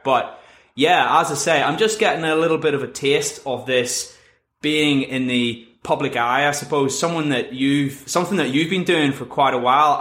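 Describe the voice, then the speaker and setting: A man's voice: medium pitch, a bit bright. Chatty man hosting a podcast. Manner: chatty, upbeat, British colloquial. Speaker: podcast host. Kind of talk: casual podcast interview. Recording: echoey room.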